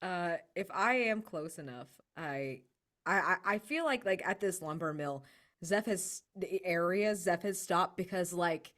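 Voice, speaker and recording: A woman's voice: on the low side, expressive, unhurried, a bit bright. A woman playing a tabletop game, casually improvising descriptions and searching for her words as she goes.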